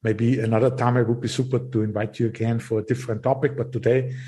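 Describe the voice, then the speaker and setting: A man with an Austrian accent hosting a podcast interview, talking casually and halting over his words with soft laughs. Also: medium pitch, level tone. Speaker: podcast host.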